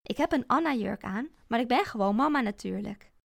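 Woman doing a shrill, desperate mother in an audiobook; gravelly, dry. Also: animated, fairly quick, dry recording. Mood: anxiously coaxing. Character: frantic, unstable young mother clinging to her child. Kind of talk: audiobook character voice.

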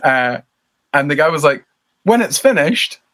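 A man's voice: a little high, big pitch swings, measured pace, a bit bright. A Scottish man in his thirties, a band member talking casually as an interview guest, rambling and self-deprecating with lots of fillers.

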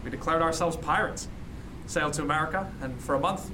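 An actor playing a reflective, modest sea captain of the early 1700s in a radio drama, measured and halting. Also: male voice, a little high, noisy background, lightly acted. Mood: somber, reminiscing. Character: reflective, modest sea captain in 1717, former cabin boy. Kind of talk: period radio drama acting, storytelling.

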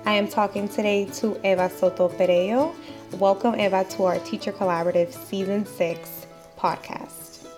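A woman's voice: mid-range voice, steady tone, normal speed, over music. A woman hosting a podcast interview, asking friendly, slightly rambling questions. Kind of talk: warm conversational interview.

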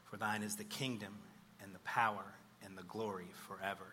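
Bright-voiced man leading church prayer. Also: lowish voice, little pitch movement, brisk. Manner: earnest, reverent, phrase-by-phrase delivery. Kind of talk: spoken congregational prayer. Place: church service. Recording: live church recording with background noise.

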